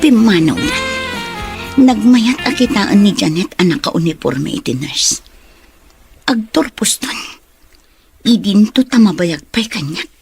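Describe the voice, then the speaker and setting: A woman acting a scheming, envious young woman in a radio drama, with a husky, theatrical delivery. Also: mid-range voice, lively intonation, a little slow, pauses often, darkish tone, soft background music. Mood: brooding, scheming.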